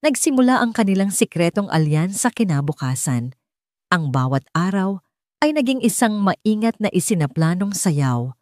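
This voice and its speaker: A woman's voice: mid-range voice, very animated, moderate pace, darkish tone, dry recording, clean studio sound. A woman reading a scripted dramatic story as an audiobook narrator.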